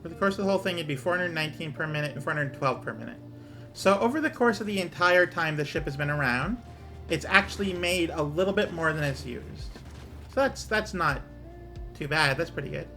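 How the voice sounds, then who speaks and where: Bright-voiced man going hoarse. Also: fairly high pitch, animated, a little fast, faint music behind. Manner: muttering numbers while he plays, thinking aloud. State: losing his voice. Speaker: streamer. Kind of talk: casual gameplay commentary.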